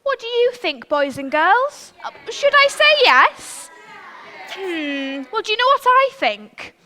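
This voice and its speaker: A woman's voice: very high-pitched, big pitch swings, takes it slowly, sharp tone, heavily acted. A woman playing a feisty, outspoken young princess in a live stage pantomime, theatrical and emphatic. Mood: scornful.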